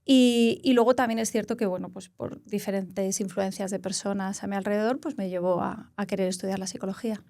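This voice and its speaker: Psychologist guest, 40s, Castilla-La Mancha Spanish, calm reflective interview voice, dry studio sound. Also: female voice, mid-range voice, a little fast, hardly any pauses, no echo. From Spain. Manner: reflective, explains with examples and rephrases. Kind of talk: conversational interview.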